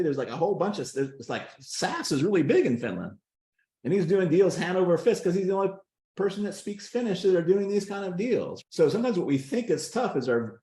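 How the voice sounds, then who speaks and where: A man's voice: fairly high pitch, a little mellow, echoey room. A man coaching on a group video call, folksy and emphatic, often checking that his point lands. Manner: emphatic, folksy, repeats "does that make sense". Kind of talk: casual coaching talk.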